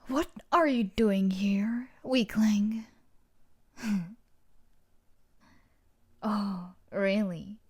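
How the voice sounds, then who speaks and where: A woman's voice: medium pitch, drawn-out words, pauses often, darkish tone, slightly rough, exaggerated voice. A woman playing a tough, sadistic and obsessive young female bully in a dramatic audio roleplay, taunting and threatening, often dropping toward a whisper. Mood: bitter, mocking.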